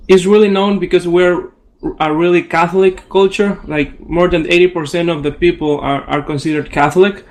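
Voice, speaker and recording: A man in his twenties with an Ecuadorian accent, a native Spanish speaker, talking casually as a radio guest. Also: sits high. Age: 20s. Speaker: psychology professional guest. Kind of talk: casual radio discussion in non-native English.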